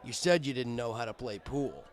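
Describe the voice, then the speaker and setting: A man voicing a gruff stallion who is a sore loser, grunting and snapping threats in an audiobook story. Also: medium pitch, moderate pace, lightly acted. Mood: angry. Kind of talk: fan fiction audiobook character voice.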